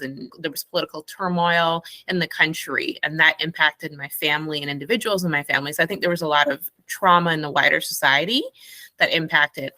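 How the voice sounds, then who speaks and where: A woman's voice: deep voice, bright, forceful tone, no echo. A middle-aged woman from Guyana, a psychologist being interviewed, talking in a thoughtful, reflective way with light laughs. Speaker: psychologist guest. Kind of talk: casual interview talk.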